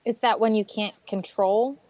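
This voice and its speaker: A woman's voice: mid-range voice, steady tone, moderate pace, dark tone, dry recording, muffled recording. A woman playing an online tabletop game, chatting casually as a player.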